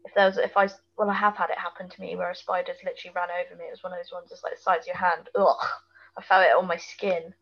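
A woman's voice: lowish voice, level tone, quick pace, lo-fi sound. A woman co-hosting a podcast in casual chat, reacting with informal, blunt asides and questions. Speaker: podcast co-host.

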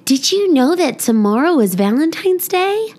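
A woman's voice: high voice, big pitch swings, normal speed, voice pushed up. A woman voicing an eager, literal-minded little schoolgirl in a storybook, exclaiming and inquisitive. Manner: bright-eyed, exclaiming, inquisitive.

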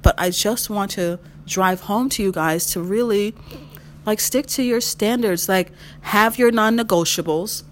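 A woman in her mid-thirties hosting a solo podcast, giving emphatic advice, repeating her key phrases and swinging her pitch when she makes a point. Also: a little slow, slight background noise. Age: 30s. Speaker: podcast host.

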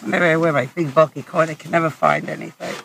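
Hoarse, laughing New passenger. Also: male voice, fairly high pitch, fairly even intonation, quick pace, a bit bright, slight background noise. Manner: laughs often, chatty. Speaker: rideshare passenger. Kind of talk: casual chat. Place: inside a car.

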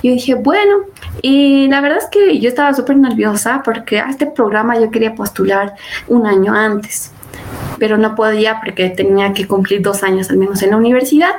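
A woman's voice: on the high side, moderate pace, slight background noise, poor audio. A young woman, a podcast guest, chatting casually and retelling events with many fillers and quoted asides. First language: Spanish. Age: young adult. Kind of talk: casual interview storytelling.

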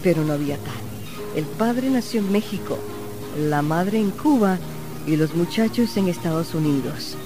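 A woman hosting a radio program, narrating a group's history and interviewing a guest with open enthusiasm and admiration. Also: low voice. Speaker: radio show host.

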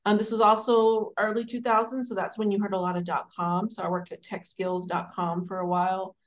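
A woman in her forties from Dallas, telling her career story as an interview guest in a warm, rambling, conversational way. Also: medium pitch, flat delivery, brisk. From Dallas, Texas. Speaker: guest, city administrator.

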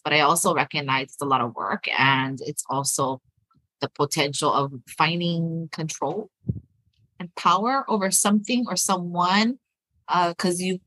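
A woman's voice: deep voice, bright, forceful tone, no echo, low-quality recording. A middle-aged woman co-hosting a casual chat, easygoing and rambling as she talks through her thoughts. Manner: rambling, full of fillers and restarts, easygoing. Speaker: podcast co-host.

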